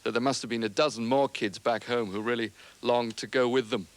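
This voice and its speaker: An actor playing a cheerful, joking sailing-school founder who speaks with relaxed hesitations. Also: male voice, medium pitch, brisk, close to an everyday voice. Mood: easygoing. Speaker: studio guest.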